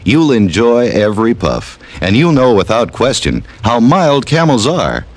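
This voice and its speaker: A man's voice: mid-range voice, big pitch swings, measured pace. A man announcing a 1950s radio commercial with an emphatic, persuasive delivery.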